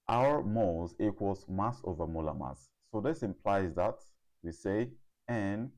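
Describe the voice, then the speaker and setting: A man tutoring in a deliberate, step-by-step way, often checking in with a quick okay or right. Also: sits low, a little mellow. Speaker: chemistry tutor. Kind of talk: step-by-step instructional explanation.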